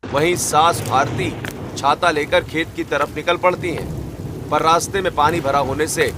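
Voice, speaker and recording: A man narrates a family cartoon in scripted storyteller style. His voice is a little high for a man, and there is clear rain noise behind it. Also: steady tone, moderate pace. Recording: loud rain ambience behind voice.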